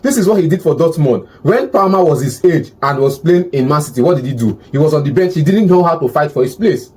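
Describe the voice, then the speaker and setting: Husky man arguing football hard. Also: a little high, expressive, quick pace, a little mellow. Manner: argumentative, repeats words while building a point. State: hoarse, says he has lost his voice. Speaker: football pundit. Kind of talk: heated debate.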